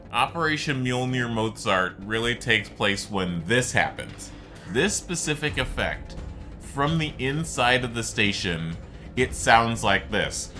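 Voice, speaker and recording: A man's voice: medium pitch, bright, forceful tone. A man giving casual, rambling, explanatory commentary on a video game. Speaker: gaming video host.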